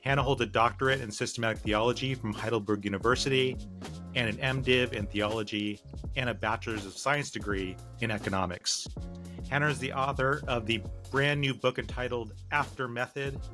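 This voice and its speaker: A man's voice: mid-range voice, fairly even intonation, brisk, music in the background. A man hosting a podcast interview, reading a guest introduction and asking questions in an upbeat manner. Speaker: podcast host.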